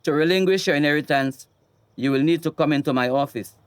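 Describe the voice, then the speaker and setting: An actor playing a calm, formal attorney in a radio drama, speaking. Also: male voice, fairly high pitch, clean tone, no echo, lightly acted. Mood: composed. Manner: measured, formal. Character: Samson Straker, calm, formal male attorney.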